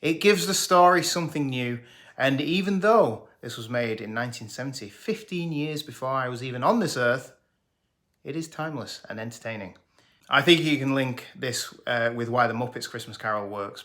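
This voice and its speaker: A man's voice: medium pitch, a bit bright. A man, presenting a scripted, jokey film review. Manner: jokey, sarcastic, enthusiastic. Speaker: movie reviewer.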